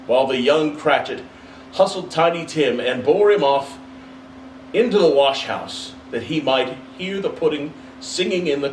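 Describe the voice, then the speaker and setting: A man reading a classic story aloud as narrator, in an expressive storybook style. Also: mid-range voice. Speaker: story reader. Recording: roomy, distant mic, faint hiss.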